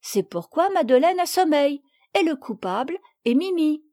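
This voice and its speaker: A woman reading an audiobook, voicing a sensible, earnest young girl in a high childlike voice. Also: very animated, moderate pace, darkish tone.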